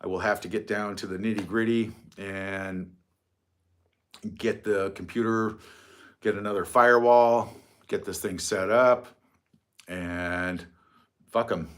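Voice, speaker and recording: A man's voice: fairly low pitch, fairly even intonation, takes it slowly, pauses often, a bit bright. A middle-aged man hosting a casual livestream from his phone, rambling, mocking and swearing freely. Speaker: livestream host.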